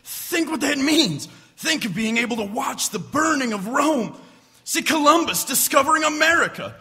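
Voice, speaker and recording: Man acting an excitable, piercing radio-play scientist in a hall. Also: extremely high pitch, moderate pace, full character voice. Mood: grandiose, excited. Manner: grandiose, declamatory, impassioned. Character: excitable scientist inventor of a time machine. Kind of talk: old-time radio drama acting. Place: stage in a theater hall. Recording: echoey stage hall reverb.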